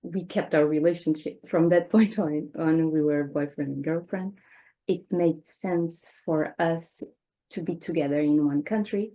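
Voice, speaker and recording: Portuguese woman on a podcast, lowish mellow voice, calm, english not her first language. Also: unhurried. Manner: speaks second-language English with small slips, calm and reflective. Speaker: podcast guest. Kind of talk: conversational interview.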